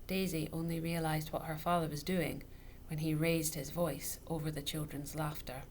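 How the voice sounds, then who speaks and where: A woman reading a children's book aloud as scripted narration, with expressive, dramatic storytelling. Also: deep voice, moderate pace. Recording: noticeable background noise.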